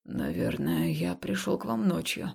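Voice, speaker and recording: Woman voicing a low, croaking, hesitant man. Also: huge pitch swings, unhurried, darkish tone, full character voice. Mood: hesitant, apologetic. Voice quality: hoarse, croaking whisper. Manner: hesitant, subdued. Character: grown man, reserved director, awkward and earnest love interest. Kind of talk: audiobook character dialogue.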